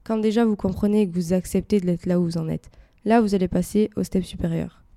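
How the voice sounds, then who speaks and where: A woman's voice: on the low side, steady tone, fairly quick, mellow, no room sound, clean studio sound. A woman podcast host with a stuffy head cold, musing aloud in a casual personal monologue with many hesitations. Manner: reflective, rambling, many hesitations. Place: indoors, air-conditioned room.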